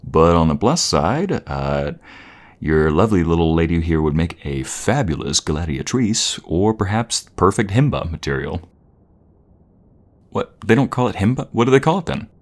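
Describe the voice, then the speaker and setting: Very deep-voiced man, playful boyfriend roleplay. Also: big pitch swings, moderate pace.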